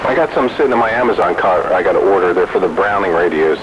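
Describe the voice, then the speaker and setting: A man's voice: low voice, expressive, fast talker. A man chatting casually as a hobbyist over CB radio. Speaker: CB radio hobbyist in a group chat. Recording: dull, noisy radio transmission.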